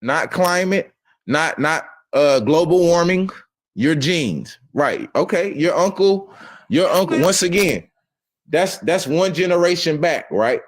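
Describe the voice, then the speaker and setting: A man's voice: on the high side, lively intonation, slow pace. A man hosting a casual group debate, talking in an emphatic, lecturing way and often checking in with "right?" and "okay?".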